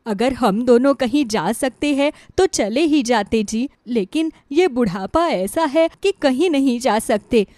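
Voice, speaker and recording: A woman's voice: high voice, animated, measured pace, few pauses, dark tone, dry recording. A woman voicing a meek, wronged elderly mother-in-law in a cartoon story, plaintive and resigned. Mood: sad, resigned. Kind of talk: Hindi cartoon moral-story dialogue.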